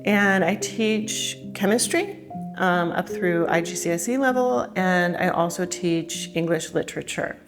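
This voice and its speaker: A woman from California, a teacher, talking in a chatty, relaxed, upbeat way in an interview. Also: fairly low pitch, unhurried. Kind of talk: casual interview talk. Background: soft guitar music.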